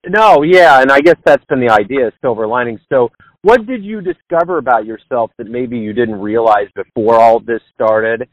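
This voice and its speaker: A man's voice: medium pitch, normal speed, no room sound. A man hosting a radio interview, asking questions in a friendly, conversational way. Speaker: radio host. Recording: dull lo-fi line.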